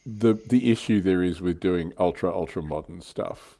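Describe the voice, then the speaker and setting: A man's voice: low-pitched, normal speed, gravelly, dark tone. A middle-aged man co-hosting a casual livestream chat, bantering in a tongue-in-cheek way. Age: middle-aged or older. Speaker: livestream co-host. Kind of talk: casual panel chat about tabletop wargaming.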